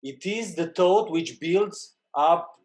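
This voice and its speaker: A man with a Romanian accent reads a spiritual passage aloud in English, slowly and haltingly, with pauses between phrases. His voice sits somewhat high for a man. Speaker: satsang participant.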